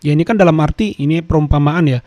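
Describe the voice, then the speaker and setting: A man with a fairly high but mellow voice comments to his viewers. He repeats his points with emphasis and keeps calling out to his audience, recorded dry and close. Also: fast, no room sound. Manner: emphatic, repeats phrases, addresses viewers often. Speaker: host.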